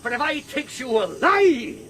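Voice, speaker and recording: Sly pirate leader, rough rustic speech, very high forceful delivery, wheedling menace, radio play. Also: male voice, big pitch swings, normal speed, a little hiss or hum, exaggerated voice. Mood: furious threats. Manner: wheedling, then threatening. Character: sly, menacing pirate leader with a one-time cook's past. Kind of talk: radio drama acting.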